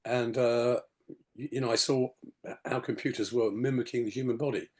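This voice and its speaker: A man in his sixties with a gravelly, slightly hoarse voice, rambling through his story on a video call with many "you know"s. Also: mid-range voice, steady tone, moderate pace, darkish tone, a little hiss or hum. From England. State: hoarse after a detox. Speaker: podcast guest. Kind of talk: casual storytelling interview.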